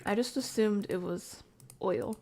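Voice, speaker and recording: Woman livestream host, off-the-cuff casual reactions, gravelly fry in the voice. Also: medium pitch, a little slow, darkish tone. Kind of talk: casual chat, reacting to videos and reading chat.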